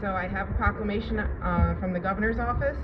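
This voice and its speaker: A woman's voice: medium pitch, quick pace, over loud music. A woman delivering a formal public speech at a ceremony, reading out an official proclamation. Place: outdoor public ceremony at a city hall. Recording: noisy outdoor public-address recording, muffled, traffic.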